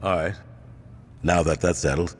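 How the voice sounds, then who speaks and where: A man's voice: deep voice, steady tone, moderate pace, long reverb tail, heavy background noise, lightly acted. An actor playing a stern, cold male gang leader who gives orders in a video game cutscene. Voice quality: firm, commanding.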